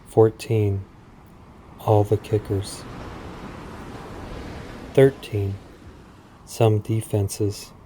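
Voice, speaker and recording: A man speaking in a soothing, measured, deliberate way with long pauses, as in a guided sleep meditation. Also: fairly low pitch, level tone, warm tone, slight background noise, rough recording. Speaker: podcast host. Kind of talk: guided sleep meditation, parody hypnosis script.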